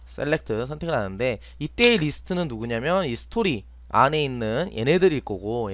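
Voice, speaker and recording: Lively-pitched male tutorial instructor, slightly muffled. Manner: asks and answers own questions. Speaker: teacher, online programming instructor. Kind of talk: step-by-step screen tutorial, unscripted.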